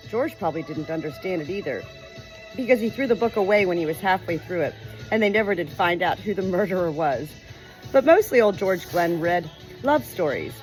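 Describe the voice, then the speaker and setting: A woman reading a story aloud as an audiobook narrator, expressive and measured, with deliberate pauses. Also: lowish voice, poor audio. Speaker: audiobook reader.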